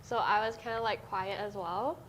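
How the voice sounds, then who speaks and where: A woman's voice: mid-range voice, steady tone, fairly bright tone, a little hiss or hum, rough recording. Young woman guest. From Hong Kong. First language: Cantonese. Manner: thoughtful, halting, many fillers. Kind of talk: casual podcast chat.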